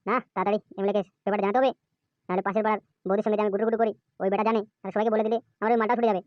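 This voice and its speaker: A woman's voice: medium pitch, little pitch movement, very fast, dry recording, lo-fi sound, close to an everyday voice. A woman voicing a sly, lecherous male village informer in an amateur cartoon, gossipy and crude. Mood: sly, scheming.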